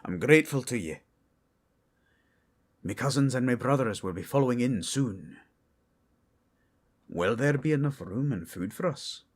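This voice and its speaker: A man voicing a dignified male dwarf high priest in a fan audio drama, speaking in formal, old-fashioned phrasing. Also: a little mellow.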